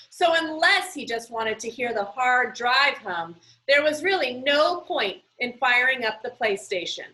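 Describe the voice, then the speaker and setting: A woman with a high, bright voice narrates a children's story aloud. Her delivery is expressive and animated, with pitch that moves widely and clear emphasis on asides. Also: low-quality recording. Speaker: reader reading a children's book aloud.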